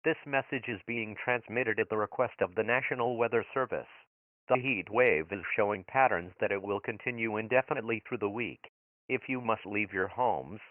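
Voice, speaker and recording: A man playing a grave emergency alert announcer, reading formal scripted bulletins in a flat official way through a radio filter. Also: fairly low pitch, no room sound. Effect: radio broadcast filter. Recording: muffled, phone-like radio transmission.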